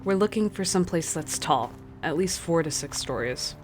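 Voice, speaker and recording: A woman's voice: sits low, animated, moderate pace. A woman playing a stern, cautious expedition leader in a radio drama, commanding and no-nonsense. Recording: faint guitar music behind.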